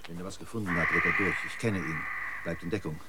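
A man's voice: low-pitched, quick pace, very noisy, lightly acted. An actor in a German radio drama playing a cynical, seasoned male guide who talks in a laconic, knowing way. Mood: sardonic, unruffled. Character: cynical, seasoned male guide, slingshot-proud street survivor. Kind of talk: German radio play acting.

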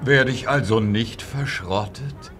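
An actor voicing a proud, fast male steam engine in a children's audio drama, with a booming voice. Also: a bit bright, a little hiss or hum. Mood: relieved.

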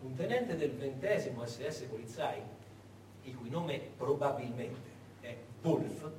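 High-pitched theatrical male storyteller, echoey. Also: a little mellow. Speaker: stage actor and narrator. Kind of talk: theatrical historical storytelling, monologue. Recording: echoey stage, distant, faint noise.

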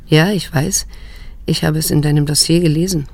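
An actress playing a wounded yet restrained middle-aged daughter in a radio drama, speaking in a measured, sober, pointed way. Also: female voice, low-pitched, steady tone, creaky, darkish tone, no room sound. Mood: probing, reproachful. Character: probing, reproachful daughter in her late fifties, wounded yet restrained. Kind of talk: German radio drama acting and narration.

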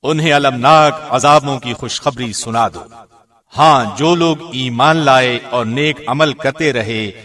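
A man reading a scripture translation formally, in the measured, solemn manner of a radio presenter. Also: medium pitch, pushed and bright, recorded from a distance. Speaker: translation reader, radio host.

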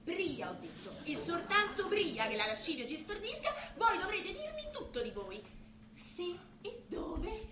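A woman's voice: extremely high pitch, big pitch swings, unhurried, background noise, exaggerated voice. A woman playing a seductive, bossy lady in a mock-medieval stage farce, with a grandiose, florid and teasing delivery. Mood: coaxing, commanding. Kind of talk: theatrical stage comedy, mock-archaic speech. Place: live theatre stage. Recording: echoey stage recording with music.

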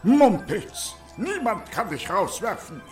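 A man playing a fawning, grandiose flatterer in a German radio play. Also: high-pitched, slow, roomy sound, faint music behind, full character voice.

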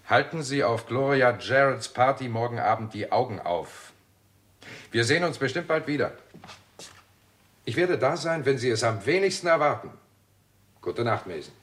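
An actor playing a suspicious male investigator in a 1960s German radio crime drama, brisk and commanding. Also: medium pitch, fairly bright tone.

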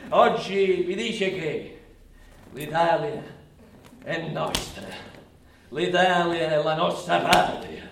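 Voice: medium pitch, a bit bright, echoey room, recorded from a distance, a little hiss or hum. An actor playing a bigoted, self-important nationalist video blogger who declaims with coarse, emphatic repetition in a theatrical satirical monologue. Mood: fanatical.

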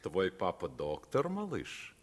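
An actor playing a probing, condescending gendarme questioning children in a 1950s radio drama. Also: male voice, low voice, wildly swooping pitch, slow, exaggerated voice. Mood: suspicious.

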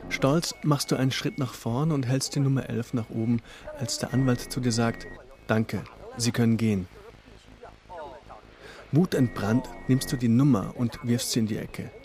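A man's voice: mid-range voice, steady tone, normal speed, a little mellow. A man telling a radio play story in the second person, in flowing descriptive narration. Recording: faint music under the voice. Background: faint instrumental music.

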